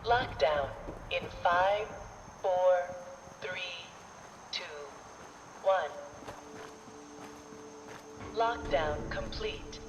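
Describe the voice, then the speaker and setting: Automated facility announcer: deadpan, very slow, dull echoing sound with long reverb, game countdown. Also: male voice, high voice, background noise. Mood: impassive. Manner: clipped, procedural, deadpan. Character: impassive automated launch-control computer. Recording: echoing, dull loudspeaker in large space.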